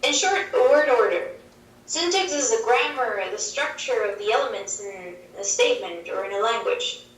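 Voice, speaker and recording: A woman whose first language is Swedish, answering interview questions on linguistics over a video call, explaining in a measured way with examples. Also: a little high, expressive. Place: played through a wall screen in a dim room. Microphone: video call heard over speakers. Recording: roomy, distant playback from a screen.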